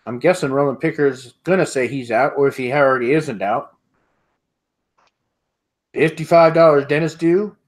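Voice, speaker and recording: A middle-aged man hosting an online auction, calling bids in a steady repetitive rhythm and laughing often, recorded through an earbud headset on a video call at home. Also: a little high, moderate pace, pauses often. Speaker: auctioneer host. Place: home room with sports memorabilia.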